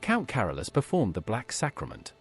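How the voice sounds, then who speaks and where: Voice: medium pitch, wildly swooping pitch, a little fast, slightly rough, faint music behind, full character voice. A performer voicing a terse, matter-of-fact assassin player character in a video game. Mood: terse, businesslike. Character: terse assassin taking contracts.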